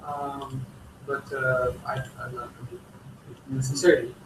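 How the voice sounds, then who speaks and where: A man's voice: mid-range voice, little pitch movement, slow. A man whose first language is Romanian, talking in a casual meeting, hesitant and trailing off with many fillers. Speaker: meeting participant. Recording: roomy, distant mic with faint noise.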